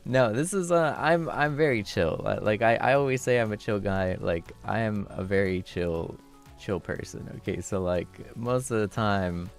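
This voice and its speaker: A young man streamer chatting casually with viewers, laughing often and thanking them warmly, moving between a low relaxed voice and a higher one. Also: vocal fry. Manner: shifts between low chill voice and high voice.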